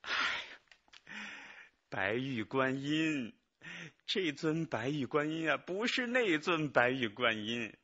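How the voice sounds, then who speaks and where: An anxious, halting manager, swooping pitch. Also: male voice, expressive, sharp tone, lo-fi sound, full character voice. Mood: distressed, halting. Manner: halting, sighing, pleading. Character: anxious injured male manager pleading his innocence. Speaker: actor in a police radio drama. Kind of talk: Chinese radio drama acting.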